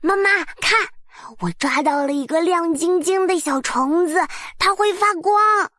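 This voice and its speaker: Woman as a high-voiced curious little puppy. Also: a little slow, sharp tone, heavily acted. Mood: excited. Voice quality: childlike, cute. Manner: eager, wide-eyed, simple earnest phrasing. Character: young little puppy, curious, eager, innocent child. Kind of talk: children's storybook character voice.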